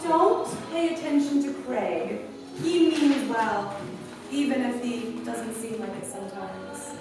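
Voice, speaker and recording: Woman acting slow, coaxing boss in echoey room. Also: a little high. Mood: soothing, coaxing. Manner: unhurried, reassuring. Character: soothing, coaxing female boss. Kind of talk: staged reading of a drama script. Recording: very echoey room, distant mic, loud music behind.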